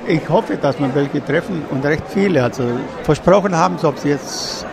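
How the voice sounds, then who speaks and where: Gravelly older man, noisy crowd. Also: a little high, moderate pace. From Banat, Romania. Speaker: event visitor being interviewed. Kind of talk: casual chat. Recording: noisy crowd, loud ambient din.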